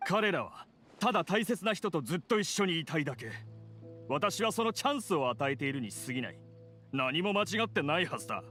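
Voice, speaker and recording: A man's voice: a little high, sing-song, very fast, fairly bright tone. A man playing a self-righteous, defiant scholar villain in an anime game. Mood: self-justifying. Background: game music.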